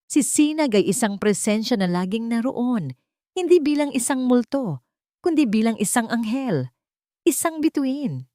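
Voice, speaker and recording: A woman narrating a scripted sentimental love story in a measured, expressive way. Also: a little high, no room sound, clean studio sound.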